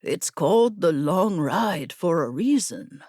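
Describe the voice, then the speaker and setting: A woman's voice: on the low side, very animated, slow pace, warm tone, slightly rough, no echo, clean studio sound, full character voice. Wry, weary older horseman, voiced by a woman. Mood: wry amusement. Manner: wry, laid-back. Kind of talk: audiobook fantasy character dialogue.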